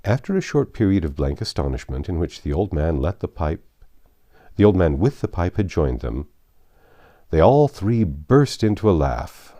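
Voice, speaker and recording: A man narrating classic literature as a storyteller, with measured, dramatic phrasing. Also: sits low, very animated, dark tone, dry recording.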